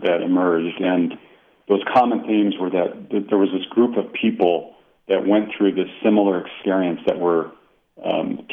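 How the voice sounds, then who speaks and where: Deep-voiced man on a phone line. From Colorado, United States. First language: Italian. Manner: reflective, with frequent fillers and restarts. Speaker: author guest. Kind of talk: radio interview.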